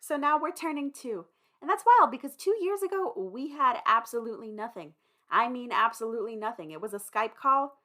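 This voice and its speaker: A woman's voice: a little high, lively intonation, fairly quick, bright, forceful tone. A woman speaking informally and emphatically to listeners as the host and creator of an audio drama. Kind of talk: casual chatty address to listeners.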